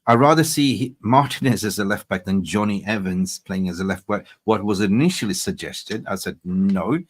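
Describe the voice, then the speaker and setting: Low-voiced man from Sweden hosting a football watch-along, blunt and sweary, quick to get excited. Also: slightly rough. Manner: blunt and swearing, excitable when reacting to live scores. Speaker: football fan channel host. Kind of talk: casual post-match fan chat.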